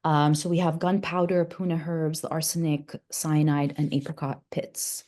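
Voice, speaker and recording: Mellow-voiced woman perfumer presenting, hesitant. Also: deep voice, flat delivery, normal speed. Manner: hesitant, many fillers and self-corrections. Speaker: perfumer and interdisciplinary artist, presenter. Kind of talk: conference presentation with slides.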